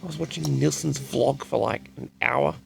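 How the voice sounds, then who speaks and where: A man with a tired, raspy voice, chatting casually and loosely to his audience with many restarts. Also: medium pitch, normal speed, music in the background. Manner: rambling, repeats and restarts sentences. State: tired, raspy from a late night. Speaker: audio log host. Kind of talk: casual rambling chat to audience.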